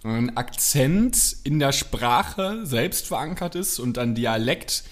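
A man from Germany speaks slowly on a video call, with a mid pitch. He rambles and halts as he explains. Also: animated. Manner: rambling, halting. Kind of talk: casual chat on a video call.

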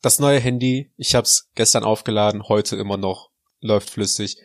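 A man's voice: fairly low pitch, steady tone, normal speed. A man born in Russia and raised in Germany, co-hosting a podcast as casual, joking chat. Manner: joking, puts on a fake Russian accent in German. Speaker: podcast co-host.

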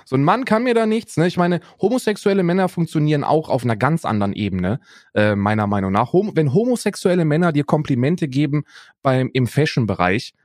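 A man's voice: on the high side, animated, a little fast, a little mellow, no echo, clean studio sound. A young adult man co-hosting a podcast in casual chat, rambling on and tagging his lines with "ne?" and "Bruder". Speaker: podcast co-host.

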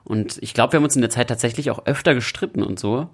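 A man's voice: medium pitch, fast, husky. A young man co-hosting a podcast in a casual chat, playful and self-ironic. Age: young adult. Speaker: podcast co-host.

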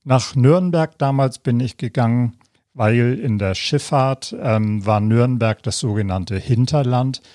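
A man in his fifties from northern Germany, hosting a podcast and telling his own story in a relaxed, reflective way, with many pauses and fillers, seated in an armchair. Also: mid-range voice, dry recording, studio quality. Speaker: podcast host. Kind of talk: casual personal storytelling.

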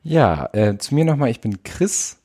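A man of nineteen from northern Germany, hosting a relaxed podcast chat with some fillers. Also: mid-range voice, unhurried. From Wesermarsch, northern Germany. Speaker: podcast host, youth association chair. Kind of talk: casual chat.

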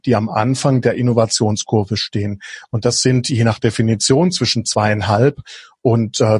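A man's voice: medium pitch, husky, no room sound, studio quality. A man from southwest Germany, a guest on a podcast interview, talking in a hesitant way with many fillers and restarts. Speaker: guest, innovation coach.

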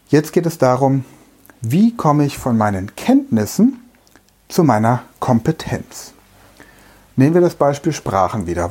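A middle-aged man delivers a lecture-style monologue as a language trainer, speaking straight to the listener and leaning on everyday comparisons. His voice is husky and mellow, with a soft, dark tone. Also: mid-range voice. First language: German. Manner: direct, uses everyday examples, speaks to the listener as du.

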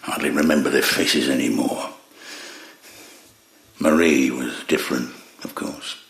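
An actor playing a gruff, blunt older theatre stagehand in an audio drama, with a gravelly, husky voice. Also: male voice, rumbling low, steady tone, a little slow, a bit bright, exaggerated voice. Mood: grumbling, wry. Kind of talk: full-cast audio drama acting. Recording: roomy, echoing sound.

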